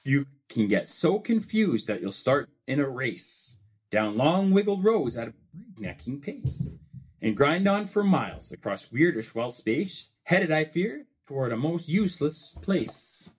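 Husky man reading a storybook with lively pitch. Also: unhurried. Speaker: host reading a children's book aloud. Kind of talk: storybook read-aloud.

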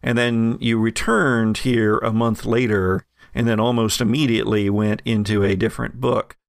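A man narrating as game master of a tabletop game in a relaxed, rambling way. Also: fairly low pitch, normal speed, gravelly, dry recording, clean studio sound. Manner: relaxed, rambling, with many fillers.

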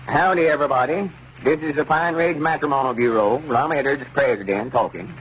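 A man playing a self-important small-town storekeeper with a rural Southern accent and a folksy, halting delivery in a 1930s radio comedy. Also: mid-range voice, a bit bright, natural voice. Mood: officious, folksy. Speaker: comedy radio show lead. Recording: muffled, noisy 1930s radio recording.

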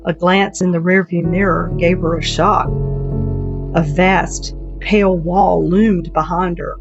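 A woman's voice: fairly low pitch, steady tone, slow pace. A woman writer reading her own literary short story as a narrator. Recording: dull, dry recording with music bed.

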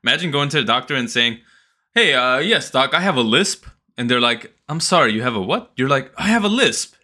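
A man's voice: medium pitch, lively intonation, brisk, sharp tone. A man co-hosting a podcast in casual conversation, with a playful, teasing way of talking. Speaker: podcast co-host.

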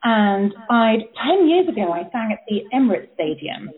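A woman opera singer from England and Austria, telling stories in a lively, laughing, chatty way as a guest on a talk show. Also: medium pitch, moderate pace. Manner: chatty, laughing, animated storyteller. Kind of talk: casual chat. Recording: tinny phone line.

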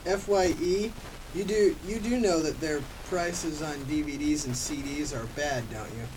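A man playing a slow-witted, defensive male sloth who stumbles through his words in an amateur audio comedy. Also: fairly high pitch, natural voice. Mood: hesitant. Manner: halting, stumbling over lines. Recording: distant mic, clearly noisy hiss.

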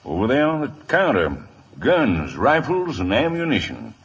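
Husky gun trader, animated pitch, smug, unscrupulous, old radio western. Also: male voice, measured pace, highs cut off, full character voice. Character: shrewd, unscrupulous male frontier gun trader. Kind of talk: 1950s radio western acting.

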